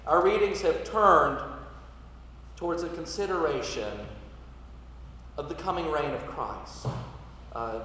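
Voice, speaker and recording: A male priest giving a sermon in measured, explanatory short phrases. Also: a little high, fairly bright tone. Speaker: priest preaching. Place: large reverberant church. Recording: echoey church, distant mic, noisy.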